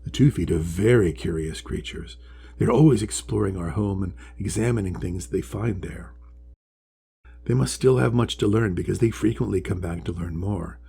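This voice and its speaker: A man voicing a huge, ancient, wise male sea creature from a storybook, friendly and measured, with a deep voice. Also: creaky, warm tone, a little hiss or hum. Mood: kindly, encouraging. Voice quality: deep, resonant. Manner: slow, measured, kindly pronouncements. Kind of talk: storybook character voice.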